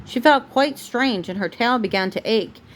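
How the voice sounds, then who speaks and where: A woman's voice: on the high side, normal speed, rough recording. A woman reading a storybook aloud as a mother does for her young child, recorded at home. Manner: breaks off to answer the child patiently. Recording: home recording with clear background noise.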